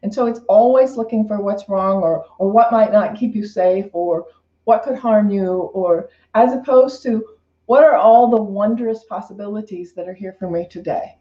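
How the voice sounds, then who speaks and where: A woman's voice: medium pitch, normal speed. A woman minister giving an informal, unscripted spiritual talk in a reflective, conversational way, often repeating and rephrasing her phrases. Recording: roomy, slightly dull lo-fi sound.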